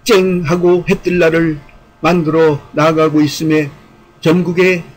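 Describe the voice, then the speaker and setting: A man's voice: sits high, rough recording. A man delivering scripted commentary in a formal, speech-like way, with an earnest, rhetorical, declamatory manner. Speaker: YouTube channel commentator.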